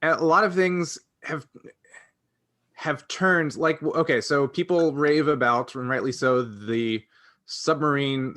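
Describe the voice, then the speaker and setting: A man's voice: mid-range voice, pushed and bright, no room sound, clean studio sound. A man co-hosting a casual fan discussion, speaking earnestly and often rephrasing himself mid-thought. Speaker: podcast co-host.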